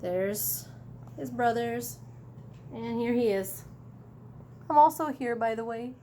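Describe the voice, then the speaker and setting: Higher-pitched woman reading a picture book aloud. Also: slow pace, a little hiss or hum. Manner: chatty, animated, pointing out pictures. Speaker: elementary school teacher reading aloud. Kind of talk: picture-book read-aloud with casual asides.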